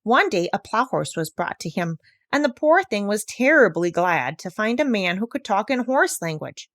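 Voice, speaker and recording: Animated woman reading a children's story. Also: medium pitch, normal speed, dry recording, clean studio sound. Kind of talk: expressive read-aloud of a children's story.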